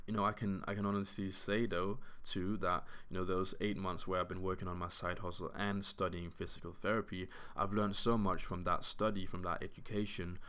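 A man's voice: deep voice, fairly bright tone, smooth. A man in his twenties hosting a solo podcast, talking in an earnest, reflective way with many fillers and restarts. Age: 20s. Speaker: podcast host.

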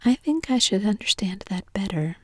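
A woman's voice: medium pitch, lively intonation, moderate pace, slightly rough, no room sound. A woman whispering the part of a polite, curious young girl.

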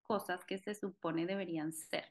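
A woman's voice: fairly low pitch, steady tone, moderate pace. A woman speaking thoughtfully in casual conversation, often hedging with tag questions.

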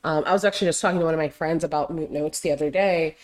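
A young adult woman hosting a casual solo podcast, talking loosely with lots of fillers, her voice going a little raspy. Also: deep voice, fairly even intonation, very fast. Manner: rambling, heavy on fillers and "like". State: slightly raspy voice. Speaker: podcast host.

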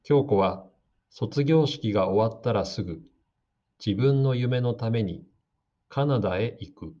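A man giving slow, calm, scripted narration for a radio drama meant for learners. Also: on the low side. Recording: dull lo-fi audio.

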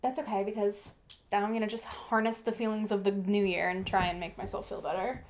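A woman's voice: mid-range voice, level tone, fairly quick. A young woman co-hosting a casual podcast, chatty and rambling, with lots of "like" and "um.". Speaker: podcast co-host. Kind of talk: casual chat.

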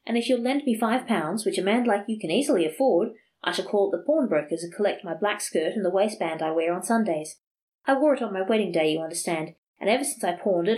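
A woman voicing a flirtatious, sharp-tongued peasant wife who bargains and scolds. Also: medium pitch, lightly acted, poor audio.

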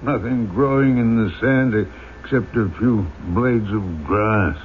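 An actor playing a poor, bewildered man with no memory on trial in a radio drama, halting and stammering. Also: mid-range voice, a little hiss or hum, natural voice. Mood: confused, halting. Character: bewildered, humble, poor amnesiac vagrant on trial. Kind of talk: old-time radio drama acting. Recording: tinny old radio recording.

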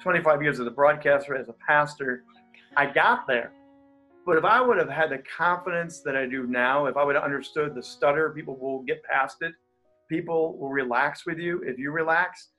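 A man with a stutter talks as a pastor and coach in an interview, energetic and quick to laugh. His voice sits a little high for a man. Faint music plays behind him. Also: low-quality recording. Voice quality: has a stutter. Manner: energetic, animated, laughs easily. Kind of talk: interview guest, casual talk.

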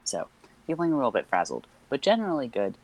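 A man's voice: medium pitch, wildly swooping pitch, fast talker. A young man co-hosting a podcast in casual chat, rambling with many fillers and nervous laughs. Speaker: podcast co-host.

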